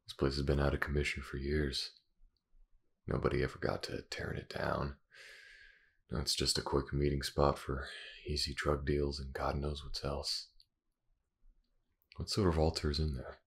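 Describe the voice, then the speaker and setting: A man's voice: rumbling low, completely flat delivery, moderate pace, creaky, pauses often, slightly rough, exaggerated voice. A man playing a blunt, skeptical, watchful detective in an audio roleplay drama. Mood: wary.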